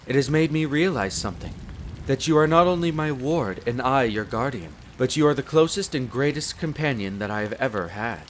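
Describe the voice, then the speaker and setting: A man's voice: medium pitch, lightly acted. Man playing a formal wolf butler in rain. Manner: polite, formal, deferential. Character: devoted, formal male wolf butler and head servant. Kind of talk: scripted roleplay audio drama. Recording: rain noise over the voice. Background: steady rain.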